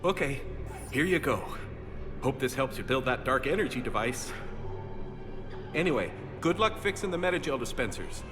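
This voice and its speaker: An actor playing an awkward, eager human fan in a video game cutscene, talking in a nervous, rambling way. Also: male voice, mid-range voice, animated, moderate pace, pauses often, music in the background, close to an everyday voice. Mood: excited.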